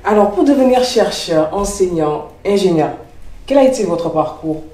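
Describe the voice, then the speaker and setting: A polite interviewer sums up answers and asks brisk questions. The voice sounds distant from the mic. The room adds a clear echo. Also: medium pitch, expressive, dark tone.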